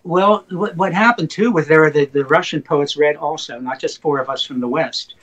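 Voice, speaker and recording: An older man, a poet whose first language is Slovak, telling stories in an interview with animated retellings. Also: a little high, moderate pace, highs cut off. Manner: animated anecdotal storyteller, slips into excited retellings. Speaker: poet guest. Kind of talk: interview storytelling.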